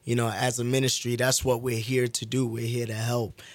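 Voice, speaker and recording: A man's voice: mid-range voice, little pitch movement, normal speed, no room sound. A man, a gospel singer answering interview questions in an upbeat, earnest way. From the Bronx, New York. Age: 20s. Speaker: gospel group singer, guest. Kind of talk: casual interview.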